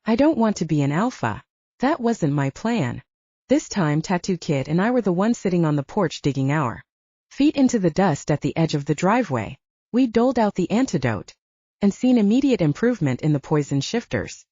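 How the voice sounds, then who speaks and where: A woman's voice: fairly low pitch, very animated, normal speed, dark tone, husky, no room sound, muffled recording. A woman reading scripted fiction as an audiobook narrator.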